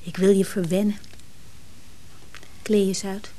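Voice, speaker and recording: A woman's voice: mid-range voice, little pitch movement, slow pace, mellow, a little hiss or hum, natural voice, rough recording. An actress playing an affectionate, evasive married woman in a radio drama. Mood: tender, seductive. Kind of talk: intimate radio drama acting.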